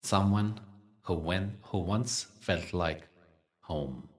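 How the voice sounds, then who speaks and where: Very deep-voiced man guiding meditation, slowly. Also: far from the mic. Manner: soothing, unhurried, measured with long pauses. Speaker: meditation teacher. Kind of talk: guided meditation.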